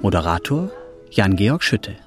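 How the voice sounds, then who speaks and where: Animated male radio announcer over music. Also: on the low side, measured pace, husky. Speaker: announcer reading title and cast credits. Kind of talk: scripted radio play announcement. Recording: music behind the voice.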